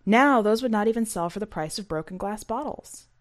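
A woman's voice: mid-range voice, lively intonation, a little fast. A woman hosting a fiction podcast, speaking in a scripted, read-aloud presenting and narrating style. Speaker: podcast host and story reader.

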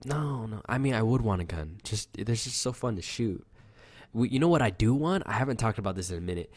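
A young man with a fairly low, youthful-sounding voice, chatting loosely and swearing as he rambles through stories. The sound is dry and close, with no room around him. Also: lively intonation, fairly quick, no echo. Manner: rambling, loose storytelling with lots of fillers and swearing. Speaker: podcast co-host. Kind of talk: casual chat.

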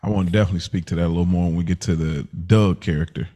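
A man's voice: low-pitched, steady tone, racing, dark tone. A man hosting a podcast, casually reviewing television in an informal, slangy way. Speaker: podcast host.

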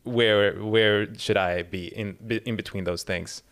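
Thoughtful low-voiced man musing aloud. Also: fairly even intonation, a little slow, a bit bright, clean tone. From second-generation immigrant. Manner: thoughtful, searching, restarts often. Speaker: podcast co-host. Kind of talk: casual chat.

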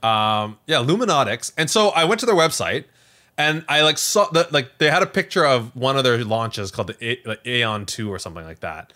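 A man hosting a podcast, casually recounting a story in a rambling, animated way. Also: mid-range voice, brisk. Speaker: podcast host.

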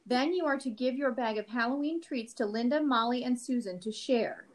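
High voice, woman acting as firm mother, suspicious, no-nonsense, amateur audio play. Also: moderate pace, close to an everyday voice. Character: suspicious, firm mother questioning her children.

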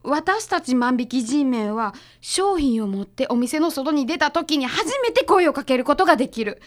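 A woman's voice: high voice, sing-song, normal speed. A woman playing a breezy, confident detective's assistant with a Kansai accent in a chatty radio-drama style. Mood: concerned. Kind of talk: radio drama acting, conversational.

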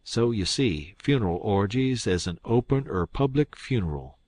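Man playing a pompous old con man, low-voiced. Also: expressive, moderate pace, a little mellow, no room sound. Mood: pompous lecturing. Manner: pompous, pseudo-learned, folksy grammar. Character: smug, long-winded old con man posing as English.

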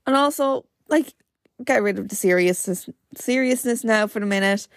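A young woman hosting her own podcast in casual, rambling chat, her voice a little croaky and cracking. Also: medium pitch, moderate pace, dry recording, studio quality. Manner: chatty and rambling, full of "like" fillers. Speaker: podcast host.

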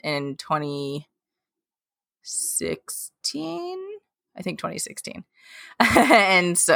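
A woman's voice: low voice, gravelly, fairly bright tone, dry recording, studio quality. A woman in her late twenties, talking as a guest in a casual interview, chatty and rambling with lots of fillers and restarts. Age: late 20s. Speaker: guest, K-pop journalist.

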